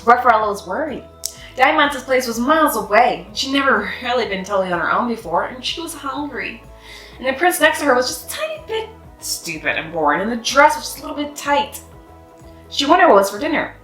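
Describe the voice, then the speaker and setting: A woman reading a children's picture book aloud in an expressive, sing-song way. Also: sits high. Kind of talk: storybook read-aloud. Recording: echoey room with faint music.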